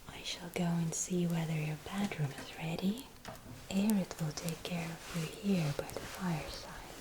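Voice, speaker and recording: A woman playing a gracious medieval fantasy innkeeper in an ASMR roleplay, speaking in a soft, breathy whisper with gentle, old-fashioned formal phrasing. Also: fairly low pitch, noisy background. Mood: hushed, welcoming.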